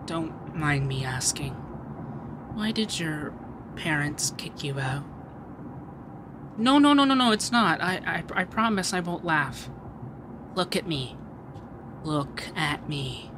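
Casting: sweet, flustered rich femboy, acted by a man, soft-mannered and hesitant, unhurried ASMR roleplay, clear background noise. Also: a little high. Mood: gentle, concerned. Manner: hesitant, flustered, gentle, stammering. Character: shy, sweet young male femboy heir and CEO. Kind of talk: ASMR roleplay audio drama.

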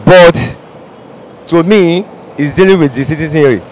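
A man's voice: fairly high pitch, a little slow, low-quality recording. A middle-aged Nigerian man with a Nigerian accent, answering a street interview at a roadside, rambling and repeating himself. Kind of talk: vox pop street interview. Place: busy city roadside outdoors. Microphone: handheld reporter mic. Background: traffic and street ambience.

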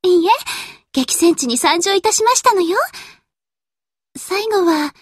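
A woman's voice: very high-pitched, expressive, measured pace, dry recording, clean studio sound. A woman playing a haughty, refined young lady warship girl in an anime game, with prim, affected ladylike speech. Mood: proud, wistful. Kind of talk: anime game character voice lines.

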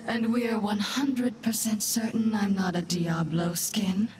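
An actress voicing a sly, haughty dreadlord demoness for a video game, with menacing, sardonic wit and a breathy delivery that often sinks toward a whisper. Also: female voice, mid-range voice, fairly even intonation. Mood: sly menace. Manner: slow, deliberate, theatrical, sardonic. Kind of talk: video game character voice lines. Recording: echoing voice with game ambience noise.

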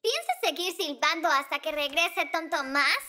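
A woman's voice: sits high, animated, moderate pace, background noise. A woman voicing a small, sensible, kind girl fixie in a dubbed cartoon, explaining things patiently. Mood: gentle. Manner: patient, explaining rules gently. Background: children's music.

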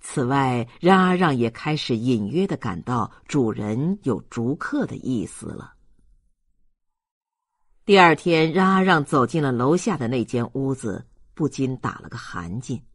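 Male narrator for a literary audiobook, husky tone, close dry sound, expressive scripted reading. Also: mid-range voice, unhurried, no echo.

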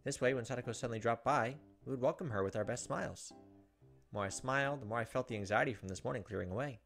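A man's voice: medium pitch, fast, creaky, fairly bright tone, soft background music. A man in his thirties hosting a gameplay video, expressively reading a visual novel's narration aloud.

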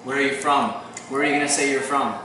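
A man's voice: medium pitch, steady tone, fast. A man in his thirties, an English teacher playfully coaching children as they record lines. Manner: playful, prompting and directing. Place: small classroom, indoors. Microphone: camera mic from across the room. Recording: echoey classroom from far off, noisy.

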